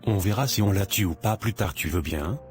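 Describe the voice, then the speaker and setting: An actor playing a cocky young male gang leader in an animated drama, brushing others off. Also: fairly low pitch, fairly quick, lightly acted. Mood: dismissive. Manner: dismissive, unbothered. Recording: music under the voice.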